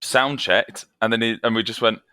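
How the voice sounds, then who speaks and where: A man's voice: medium pitch, moderate pace, bright, forceful tone, smooth, no echo. A man, a band vocalist, chatting on a podcast over a video call, self-deprecating and laughing often as he tells stories. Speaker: guest vocalist. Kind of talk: casual interview storytelling. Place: home, evening.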